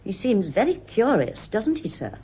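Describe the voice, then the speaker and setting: Tart, husky British housekeeper. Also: female voice, medium pitch, sing-song, moderate pace, warm tone. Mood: guarded, indignant. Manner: curt, indignant, stiffly respectful. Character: tart, loyal, resentful housekeeper and nurse. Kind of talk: radio play acting. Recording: muffled old radio drama recording.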